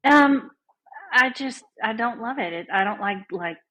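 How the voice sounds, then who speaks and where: Husky-voiced woman in her forties. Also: on the high side, animated, measured pace, pushed and bright, low-quality recording. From Southeast Texas. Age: 40s. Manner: chatty, upbeat storyteller. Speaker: guest band director. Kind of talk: casual podcast interview.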